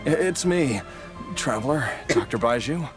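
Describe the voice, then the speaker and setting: A man's voice: medium pitch, animated, unhurried, close to an everyday voice. A man voicing an ailing, determined family man in a video game, earnest and emotional in his pleading. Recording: game audio with prominent music behind.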